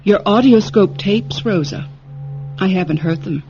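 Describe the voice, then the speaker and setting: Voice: mid-range voice, a little slow, natural voice. A performer playing a stern, officious state supervisor with a formal, clipped delivery in a radio drama. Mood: stern, probing. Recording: dull vintage radio recording, music behind.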